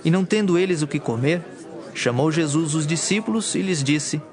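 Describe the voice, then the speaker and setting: A man giving formal, measured scripted narration for a dramatized audio Bible. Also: fairly high pitch, a little mellow, some chatter behind. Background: music.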